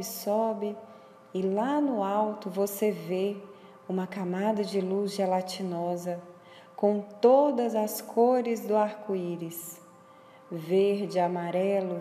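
Slow, mellow Brazilian woman guiding meditation. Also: mid-range voice. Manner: measured, instructional, with long pauses between phrases. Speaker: meditation guide. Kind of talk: guided meditation narration. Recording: echoey with long reverb, background music. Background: music.

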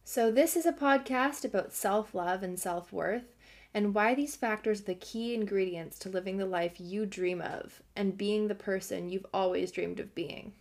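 A young adult woman hosting a self-help podcast, earnest and encouraging, her voice slightly hoarse from a cold. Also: medium pitch, moderate pace. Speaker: podcast host.

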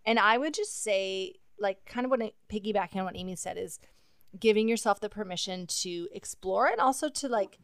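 A woman's voice: medium pitch, normal speed, fairly bright tone, no room sound, clean studio sound. A woman hosting a podcast, talking in a reflective, earnest way with fillers. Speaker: podcast host. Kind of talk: casual conversation.

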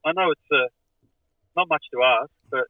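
A man's voice: medium pitch, normal speed, no room sound, narrow, tinny audio. A man from Australia, a band's singer as guest, speaking dryly and tongue-in-cheek in a casual interview.

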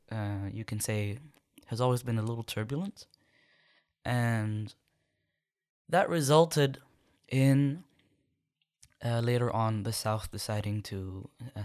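A young man from Sudan, a podcast guest, answering questions in a thoughtful, measured way with many pauses. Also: on the low side, darkish tone. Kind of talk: conversational interview answers.

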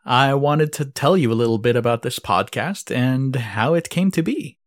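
40s guy from israel hosting his podcast, earnest, voice jumps up on words. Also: moderate pace. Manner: earnest, heartfelt, jumps up in pitch on key words. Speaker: podcast host. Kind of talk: podcast introduction.

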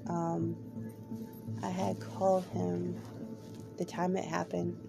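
A woman's voice: fairly low pitch, completely flat delivery, takes it slowly, gravelly, over music. A woman hosting her own podcast, talking candidly with many hesitant ums, her voice a little off from a cold. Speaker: podcast host. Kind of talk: personal podcast monologue.

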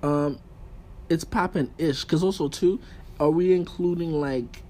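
A man chatting casually and informally as a guest, using relaxed slang as he gives his opinions. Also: on the high side, a little slow. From Haitian background. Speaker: podcast guest. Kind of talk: casual chat about fashion. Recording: clear background room noise.

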